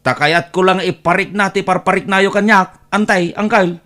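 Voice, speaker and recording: A man's voice: high-pitched, fairly even intonation, normal speed, bright, forceful tone. A man playing a put-upon young nephew in an audio drama, plaintive and exclamatory, with an Ilocano accent. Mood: hurt, indignant.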